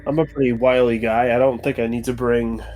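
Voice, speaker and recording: A man's voice: mid-range voice, level tone, brisk, a little mellow, slight background noise. A man chatting casually as a player at a tabletop roleplaying game. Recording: music bed behind the voice.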